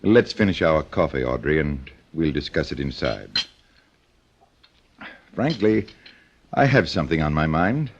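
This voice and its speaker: An actor playing a worried elderly gentleman in an old radio drama, grave, slow and restrained. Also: extremely low pitch, very animated, breathy edge, exaggerated voice. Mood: brooding. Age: older. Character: brooding, worried elderly wealthy husband. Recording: noisy, dull old radio recording.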